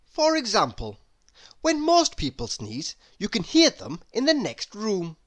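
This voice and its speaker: Animated, slow-paced children's storybook reader. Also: mid-range voice. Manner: animated, expressive, with emphatic sound words. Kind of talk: read-aloud children's story narration.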